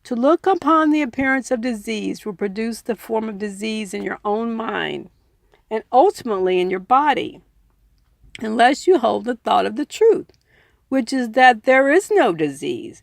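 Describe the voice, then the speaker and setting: A woman's voice: fairly high pitch, lively intonation, moderate pace, vocal fry, dry recording, studio quality. A woman reading a book aloud earnestly, in an informal audiobook style with personal asides. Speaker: reader and commentator.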